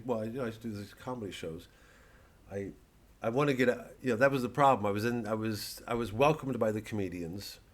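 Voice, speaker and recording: A man with a fairly low voice talks casually, like a comedian plugging a gig to camera. The delivery wanders and digresses, with a dry, mock-earnest edge. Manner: rambling, digressive. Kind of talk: casual vlog promoting a live show.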